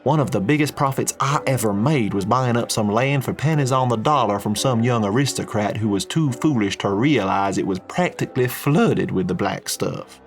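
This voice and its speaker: A man's voice: mid-range voice, normal speed, few pauses, faint music behind, lightly acted. A man voicing a blunt, boastful middle-aged oil tycoon. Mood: hard-nosed. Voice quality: folksy drawl, tobacco-spitting. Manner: loud, plain-spoken, boastful.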